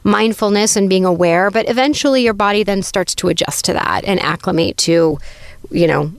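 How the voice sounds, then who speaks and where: A woman co-host talks casually in a conversational radio style. Her voice sits at an ordinary pitch, recorded close and dry with no room around it. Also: normal speed, clean tone, no echo.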